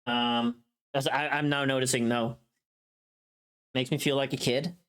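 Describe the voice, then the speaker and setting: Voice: mid-range voice, steady tone, a little slow, fairly bright tone, smooth. A livestreamer with a tired voice, chatting casually in a rambling, informal and mildly sweary way, close to the microphone. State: tired voice from long recording.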